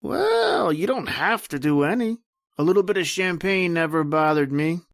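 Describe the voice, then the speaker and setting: A man's voice: high voice, very animated, moderate pace, vocal fry, a bit bright, no echo, studio quality, heavily acted. A man playing a breezy, cocksure party friend in a radio drama, teasing and overconfident. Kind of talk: old-time radio drama acting.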